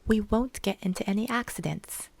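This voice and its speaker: A woman's voice: medium pitch, normal speed, vocal fry, no echo, pitched above the performer's own voice. A woman voicing a bubbly, playful young woman who teases and coaxes her friend. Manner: teasing, excitable, coaxing.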